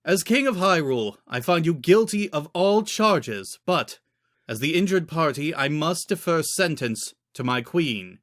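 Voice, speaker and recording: A man reads as a dignified fantasy king, his pitch lifted. He speaks formally and deliberately, projecting like a judge. The recording is dry and close. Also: expressive, a bit bright, no echo. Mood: solemn. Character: dignified male king, formal, judicial, measured.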